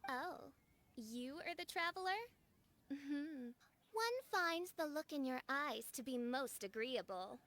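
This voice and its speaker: An actress voicing a pompous young princess in a video game, declaiming in flowery, archaic speech. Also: female voice, high voice, slow, a bit bright, heavily acted. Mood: haughty, grand. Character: grandiose theatrical young princess girl with lofty archaic speech.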